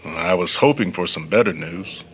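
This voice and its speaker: A man's voice: low-pitched, animated, normal speed, a bit bright, slightly rough, full character voice. An actor playing a polite, earnest and sympathetic working man in a stage-style audio drama. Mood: sober, sympathetic. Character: courteous, sympathetic working man, family friend.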